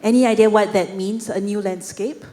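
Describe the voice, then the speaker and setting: A woman's voice: mid-range voice, steady tone, moderate pace, mellow. Conversational woman co-hosting a conference. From Singapore. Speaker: conference emcee. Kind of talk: emceeing a church conference.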